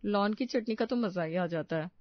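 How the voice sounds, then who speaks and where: Man acting an older female relative, nitpicking, snide, cartoon drama, raised pitch, dry recording. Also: normal speed, no echo, muffled recording, close to an everyday voice. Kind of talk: cartoon moral story voice acting.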